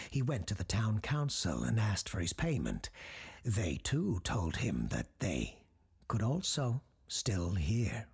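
Gravelly, breathy grave scholarly doctor. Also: male voice, lowish voice, full character voice. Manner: slow, deliberate, ominous, with dramatic pauses. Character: grave scholarly doctor recounting dark legends. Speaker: character host telling a tale. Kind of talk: scripted horror storytelling for audio drama.

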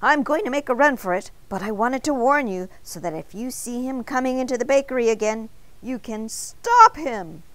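A woman voicing an excitable, indignant little male donut in a children's storybook, dramatic and exclaiming but very polite when pleading. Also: sits high, measured pace, no echo, pitched above the performer's own voice, heavily acted. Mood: frantic.

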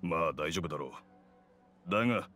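A gruff, no-nonsense blacksmith from a video game. He is proud of his craft and speaks bluntly, with a lively swing in pitch, over music. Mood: matter-of-fact. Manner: blunt, measured, rough-spoken. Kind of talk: Japanese video game voice acting. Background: background music.

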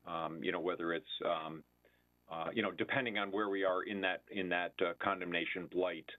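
A man speaking at a public budget hearing, halting with many fillers as he explains his department's needs over a video call. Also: sits low, steady tone. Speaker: building department official. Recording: tinny phone-like line.

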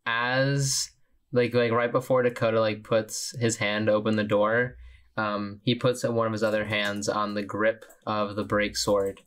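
Low-voiced man playing a tabletop game. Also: little pitch movement, fairly bright tone, smooth. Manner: halting, searching for words. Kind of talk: casual role-play narration.

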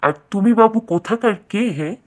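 A woman's voice: mid-range voice, normal speed, natural voice. A woman voicing a proud, outraged king in a fairytale, with an indignant, blustering manner. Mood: furious. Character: outraged, proud adult male king challenging a rival.

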